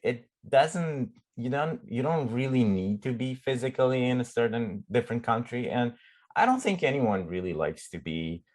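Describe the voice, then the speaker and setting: A middle-aged man from Romania with a Romanian accent, a startup CEO chatting in an interview, often pausing and restarting as he searches for words. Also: medium pitch, lively intonation, a little mellow. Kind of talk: casual conversational interview.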